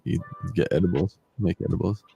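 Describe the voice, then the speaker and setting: A man's voice: low-pitched, a little fast, creaky, mellow, soft background music. A laid-back man co-hosting a stream, mostly chiming in with short easygoing replies. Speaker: streamer co-host. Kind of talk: casual stream chat.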